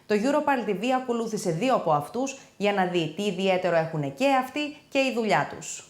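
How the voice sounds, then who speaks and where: Female newsreader, crisp and quick, roomy studio sound. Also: medium pitch, animated. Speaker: news presenter. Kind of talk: news reading.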